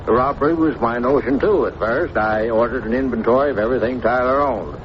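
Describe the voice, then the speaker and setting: An actor playing a proud, touchy small-town sheriff in a radio drama, with a folksy, plain-spoken, blustery manner. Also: male voice, medium pitch, fairly quick, natural voice. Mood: stiff, guarded. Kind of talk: 1950s radio drama acting. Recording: muffled old radio recording, faint noise.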